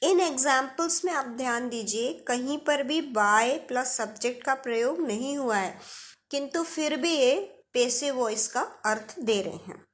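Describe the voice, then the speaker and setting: Female Indian tutor, higher-pitched, unhurried delivery, didactic and repetitive, for instructional video lessons. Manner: didactic, explains step by step with repetition. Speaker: English grammar teacher. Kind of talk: instructional video lesson, explaining grammar rules with examples.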